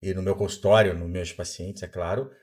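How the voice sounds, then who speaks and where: Lower-voiced man in his fifties, lecturing. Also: steady tone, brisk, a little mellow. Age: 50s. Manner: didactic, asks himself rhetorical questions and answers them. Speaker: doctor and author, podcast guest. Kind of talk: explanatory interview talk.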